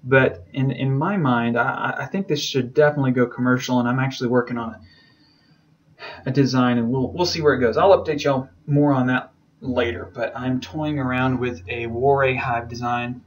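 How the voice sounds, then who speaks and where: Male instructor, medium pitch, casual and keen, explaining in an informal lecture style. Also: fairly even intonation, moderate pace, muffled recording. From southern United States. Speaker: beekeeping instructor. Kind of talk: informal lecture over slides.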